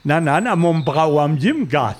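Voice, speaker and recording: A man's voice: on the high side, very animated, moderate pace, a little hiss or hum. A man chatting casually in conversation.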